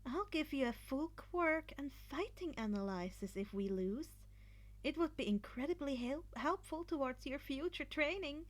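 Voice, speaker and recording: A woman's voice: sits high, animated, moderate pace. A woman voicing an earnest, upbeat teenage boy who plans carefully, in an audiobook of fan fiction. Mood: calm, helpful. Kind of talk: acted fan fiction dialogue.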